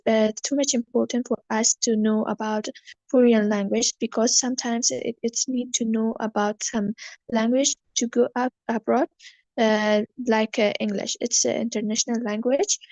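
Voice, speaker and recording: A woman from Afghanistan whose first language is Persian, answering questions in an online English class, halting often with fillers. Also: on the high side, steady tone, darkish tone, no room sound, low-quality recording. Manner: hesitant, many fillers, halting learner English. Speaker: student. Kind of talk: online class speaking practice.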